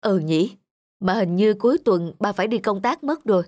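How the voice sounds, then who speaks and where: Busy, hurried cartoon dad. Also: male voice, high-pitched, expressive, a little mellow, no room sound, clean studio sound. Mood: apologetic. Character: busy, hurried father and office worker. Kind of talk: animated cartoon dubbing.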